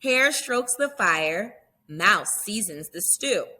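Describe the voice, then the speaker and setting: Bright, lively woman reading to children. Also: mid-range voice. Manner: animated, dramatic storytelling with drawn-out words. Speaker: children's read-aloud host. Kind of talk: expressive picture-book read-aloud for young children.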